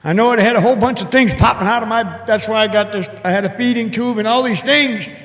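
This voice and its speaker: An older man with a big, booming voice giving an earnest, halting personal testimony to a church congregation over a mic. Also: a bit bright. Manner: earnest, halting, emotional storytelling. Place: church hall. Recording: echoey hall with long reverb.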